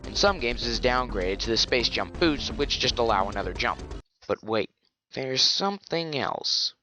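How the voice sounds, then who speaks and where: A man's voice: mid-range voice, lively intonation, normal speed, bright, forceful tone, faint music behind. A man giving scripted commentary for a gaming video, sarcastic and profane, with ranting asides.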